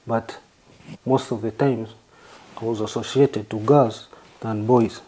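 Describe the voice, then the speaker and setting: A man from southern Uganda with a Ugandan accent, answering interview questions in halting, formally worded phrases. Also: fairly low pitch, steady tone, mellow, poor audio. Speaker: interview guest.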